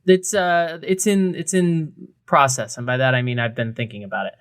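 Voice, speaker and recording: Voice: mid-range voice, fairly even intonation, normal speed. Wry, casual podcast co-host. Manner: wry, sarcastic asides; casual, slangy. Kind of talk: casual comedic chat about a beer festival.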